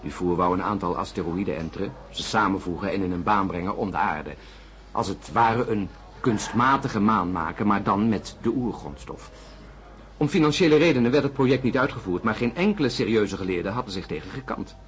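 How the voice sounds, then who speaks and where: An actor playing an intense, insistent astronaut in a radio drama, speaking emphatically with weighty pauses. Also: male voice, on the low side, lively intonation, fairly bright tone. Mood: expounding. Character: intense, insistent male astronaut expounding his theory. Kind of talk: Dutch science fiction radio drama.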